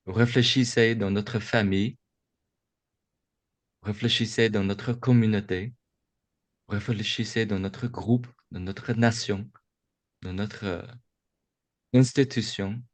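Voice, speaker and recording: A man speaks in a low, unhurried voice, feeling his way through each thought with pauses and restarts. He gives a reflective Buddhist talk over a video call, and the sound is close and dry with no room echo. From United States. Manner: slow, halting, with many restarts and pauses. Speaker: Buddhist teacher speaking to young adults. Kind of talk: reflective dharma talk on a webinar. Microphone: video call microphone.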